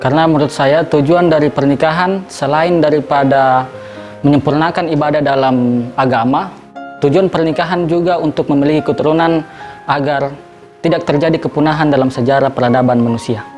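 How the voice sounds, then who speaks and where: A man's voice: fairly high pitch, steady tone, fairly quick, a little mellow, dull audio, faint music behind. A man in his twenties answering street-interview questions in composed, formal sentences. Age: 20s. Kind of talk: vox pop answers to camera.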